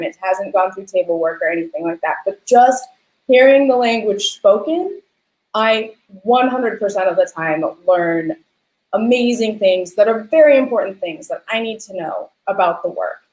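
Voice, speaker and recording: A woman's voice: medium pitch, normal speed, darkish tone, echoey room, poor audio. A woman hosting a conversational interview about her field, speaking in an earnest, explanatory way. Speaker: host and theatre lighting designer.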